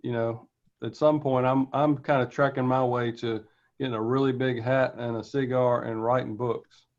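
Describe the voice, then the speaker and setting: A man with a mid-pitched voice speaks in a dry, encouraging way. His call audio comes through dull and muffled. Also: little pitch movement, moderate pace, a little mellow. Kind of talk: casual video call chat.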